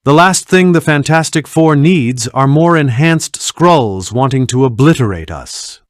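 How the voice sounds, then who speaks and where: A man's voice: medium pitch, animated, measured pace, no room sound, studio quality, lightly acted. A man voicing an earnest, intellectual superhero scientist and team leader in a video game, formal and articulate. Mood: grave, concerned. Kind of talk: video game hero voice acting.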